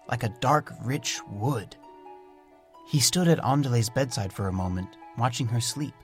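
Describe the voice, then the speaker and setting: A man voicing a gentle, naive, curious young pet creature, narrating an audio storybook in simple, thoughtful phrases with soft wonder. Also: medium pitch, lightly acted. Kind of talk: first-person storybook audio drama narration. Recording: music under the voice. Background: music.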